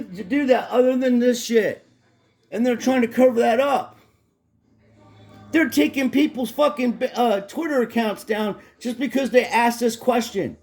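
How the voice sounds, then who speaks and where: A middle-aged man with a hoarse voice, ranting angrily and profanely in a rambling home vlog. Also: very high-pitched, a little mellow, echoey room. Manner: angry, profane, rambling rant. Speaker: vlogger. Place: his home in Florida.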